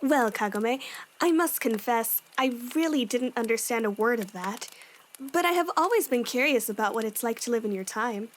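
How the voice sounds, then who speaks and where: A woman's voice: fairly high pitch, expressive, moderate pace, slight background noise, close to an everyday voice. A woman playing a composed, dry and sensible young demon slayer in a fan audio drama. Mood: dry, polite. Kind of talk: fan audio drama acting, campfire storytelling.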